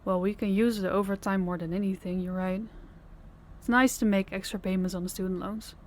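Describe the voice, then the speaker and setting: A tender, tired young girlfriend in an audio roleplay, acted by a woman. She speaks softly and intimately at a middle pitch, often dropping close to a whisper. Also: fairly even intonation, a little fast, slight background noise, lightly acted. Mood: calm. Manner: soft-spoken, intimate, often near a whisper. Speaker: voice actor.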